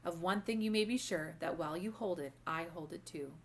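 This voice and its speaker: A woman voicing a kindly, wise old grandmother in a storybook, gently and formally giving instruction. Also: on the low side, a little fast, close to an everyday voice. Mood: instructing. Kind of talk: storybook read-aloud character voice.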